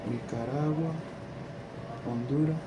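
A man's voice: medium pitch, slow. A man in his thirties with a Latin American Spanish accent, doing a casual ASMR roleplay, thinking aloud and repeating himself hesitantly. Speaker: ASMR creator playing a coach. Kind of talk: ASMR roleplay, casual one-sided chat. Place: small plain room indoors. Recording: clearly noisy home recording, background hum.

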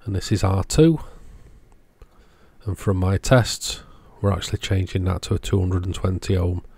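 A man with a very low voice and a strong creak at its edges. He talks as the host of a repair channel, walking through the work step by step. His delivery is casual and matter-of-fact. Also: moderate pace, warm tone, dry recording. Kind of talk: casual hands-on repair narration.

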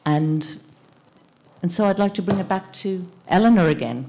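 A middle-aged woman moderating a panel discussion, thoughtful and halting as she searches for words. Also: lowish voice, animated, dark tone, a little hiss or hum. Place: indoor panel event in a plain gallery room. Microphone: handheld microphone.

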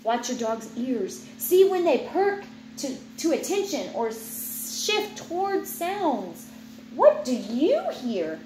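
Woman in her thirties, high-pitched, expressive read-aloud to a child, unhurried, playful asides, roomy home recording with background noise. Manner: expressive, teacherly reading that breaks off into playful asides. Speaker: parent reading aloud to a child. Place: indoors in an open room at home with high ceiling.

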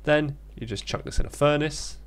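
A man's voice: fairly high pitch, steady tone, normal speed, a little hiss or hum. A man narrating a casual gaming tutorial as its host, instructing informally step by step. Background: background music.

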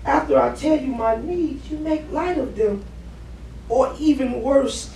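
A man playing an earnest, needy husband in a stage play, opening up haltingly to his wife. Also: very high-pitched, creaky, darkish tone, exaggerated voice. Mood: hesitant, vulnerable. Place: living-room stage set indoors. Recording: roomy, distant camera mic, background noise.

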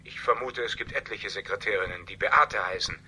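Calculating false friend, very sharp bright voice, evasive, cool, radio crime drama, faint noise. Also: male voice, lowish voice, fairly quick. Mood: cool, defiant.

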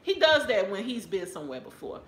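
A woman's voice: medium pitch, sing-song, brisk, fairly bright tone. Chatty Texan woman in an echoey room. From Texas. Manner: chatty and playful, addresses viewers as y'all. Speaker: handbag haul vlogger. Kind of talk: casual haul video talking to viewers. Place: living room couch at home. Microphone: camera or phone on a stand, close framing.

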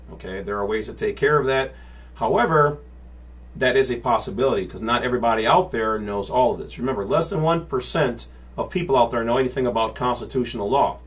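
A man in his forties explaining to a webcam in his home office, gesturing with his hands and checking in with "all right?" and "okay?" after his points. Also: medium pitch. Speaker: channel host. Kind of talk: casual explainer to camera. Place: home office with bookshelves and monitors. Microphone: webcam at desk.

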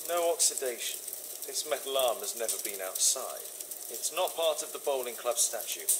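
A man's voice: medium pitch, lively intonation, moderate pace, lightly acted. Victorian detective for a game, male actor: British, incisive, dryly inquisitive, varied melody, hissing ambience behind. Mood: observant, musing. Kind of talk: scripted video game dialogue and deductions.

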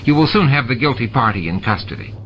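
A man voicing a scheming, greedy male alien bar owner, raising his voice high with indignant, wheedling protests. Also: very animated, fast talker, a little hiss or hum. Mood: protesting. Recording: dull lo-fi with music behind.

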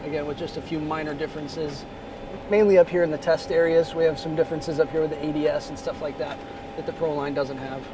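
A man in his thirties with a fairly high voice gives a relaxed, filler-laden tour of a small jet's cockpit. The camera sits at arm's length, and the busy hum of an airfield runs clearly behind him. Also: fast. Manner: easygoing, many "uh" fillers. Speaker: corporate pilot vlogger. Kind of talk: casual walkthrough video. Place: small jet cockpit, parked outdoors. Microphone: phone or camera held at arm's length. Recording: noisy airport background.

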